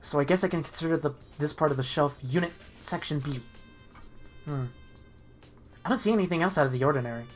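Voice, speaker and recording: A woman's voice: low voice, fast talker, pauses often, soft background music. A woman streaming a story game, reading the protagonist's lines aloud in a casual, sometimes profane way.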